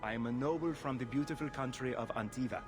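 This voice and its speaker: A man's voice: medium pitch, fast talker, over music, lightly acted. A man playing a suave, flowery nobleman in a video game, speaking in a courtly, theatrical manner. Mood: charming, boastful. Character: suave, flowery Antivan nobleman hunting an assassin. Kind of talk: video game cutscene acting.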